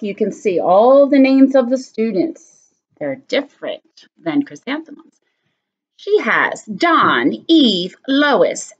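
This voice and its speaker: A woman teacher reading a picture book aloud to her students, expressive and animated. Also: on the high side, breathy edge. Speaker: elementary school teacher. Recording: slightly muffled lo-fi recording.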